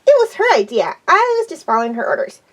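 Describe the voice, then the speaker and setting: A woman voicing a hyper, bubbly female pony who squeals with excitement. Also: sits high, fast, far from the mic, full character voice. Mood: excited.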